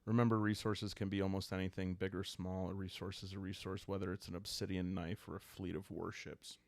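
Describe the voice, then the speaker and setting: A man calmly improvising a solo roleplaying story for sleepy listeners, thinking aloud in short, halting phrases. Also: deep voice, no room sound. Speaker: host and solo player of a journaling roleplaying game.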